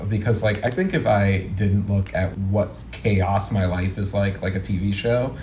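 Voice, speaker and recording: A man's voice: fairly low pitch, measured pace, darkish tone. A man in his late twenties chatting casually as an interview guest, earnest and encouraging with a joking streak. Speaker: guest, actor and producer. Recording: roomy echo, faint background noise.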